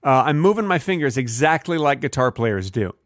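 Guy podcast cohost, talks fast, voice jumps around a lot, insistent mock-serious tall tales. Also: mid-range voice, big pitch swings, dry recording, studio quality. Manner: mock-earnest, insistent storyteller. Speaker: comedy podcast co-host. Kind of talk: improvised comic banter, telling tall tales.

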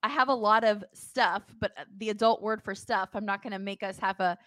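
A woman co-hosting a sports podcast in casual post-game chat, speaking in an animated, emphatic way. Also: mid-range voice, fairly quick, dry recording, clean studio sound.

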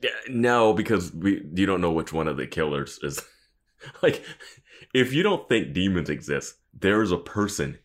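A man's voice: low voice, big pitch swings, measured pace. A man co-hosting a podcast in casual, joking commentary, riffing with mock quotes and plenty of filler "like". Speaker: podcast co-host.

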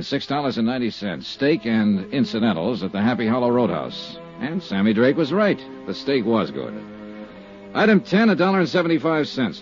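A man's voice: medium pitch, natural voice. A man playing a shrewd, sardonic insurance investigator with an American accent in a 1950s radio drama, wry and probing. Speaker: lead detective and narrator. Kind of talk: 1950s radio drama acting with first-person narration. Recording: dull 1950s radio recording.

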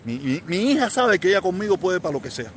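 A Cuban man in his forties talks in a rambling, repetitive way and answers comments as they come in. His voice sits a little high for a man. A faint noise runs underneath. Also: sing-song, brisk. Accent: Cuban, Havana. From Cuba. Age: 40s. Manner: rambling, repeats himself, answers viewer comments. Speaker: live streamer. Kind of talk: casual live stream chat.

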